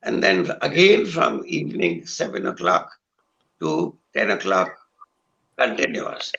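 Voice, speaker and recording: An older man with a hoarse voice, a guest musician talking in a slow, halting way with many pauses over a video call. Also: on the low side, very animated, bright, forceful tone, muffled recording. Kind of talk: recalled conversation with singing.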